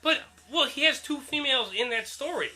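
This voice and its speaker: A man's voice: extremely high pitch, fairly quick, bright, forceful tone. A man co-hosting a casual comic book talk, sarcastic and profane, scoffing at his partner. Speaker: co-host.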